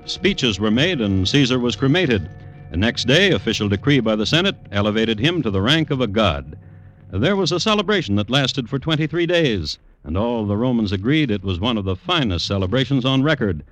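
A man playing a droll crime historian who narrates in a formal, measured, deadpan 1950s radio style. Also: mid-range voice. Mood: wry, deadpan. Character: dry, droll crime historian hosting his true-crime tales. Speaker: host and narrator. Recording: noisy vintage radio recording.

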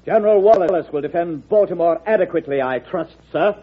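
An actor playing a stiff, stubborn, anxious desk general in an old-time radio drama, speaking in formal, clipped phrases. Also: male voice, fairly high pitch, brisk, background noise, lightly acted. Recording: dull, muffled old radio recording.

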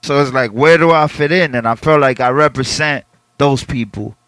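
A man from the Dominican Republic talks things through as a guest rapper on a podcast, pausing and restarting as he hunts for the right words. His voice sits at an ordinary pitch for a man. The sound is dry and close, with no room echo. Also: fairly bright tone. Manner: reflective, searching for words with restarts. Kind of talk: casual podcast interview.

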